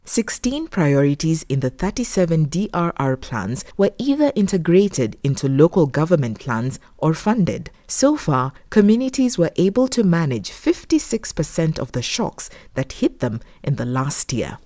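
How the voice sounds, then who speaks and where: Low, mellow woman narrator, close and dry. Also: very animated, moderate pace, few pauses, dry recording. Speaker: documentary narrator. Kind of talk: scripted narration.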